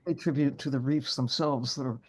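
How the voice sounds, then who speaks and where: A woman co-hosting an interview over a video call, tossing in quick, light asides. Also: a bass voice, steady tone, a little mellow. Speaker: co-host.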